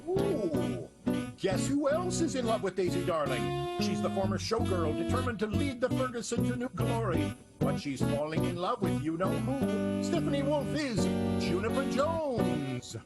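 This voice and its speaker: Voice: medium pitch, big pitch swings, a little slow, loud music behind the voice. A narrator introducing the characters of an improvised radio soap in a playful announcer style.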